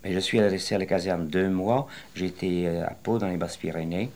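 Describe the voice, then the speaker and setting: An older man in his late sixties with a very deep, low voice recounts his working life for an interviewer. He wanders through his memories slowly and hesitantly, filling gaps with pauses and restarts. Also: a little mellow. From western France. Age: 60s. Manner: rambling, hesitant storytelling with many pauses. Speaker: retired interviewee. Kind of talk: oral history interview.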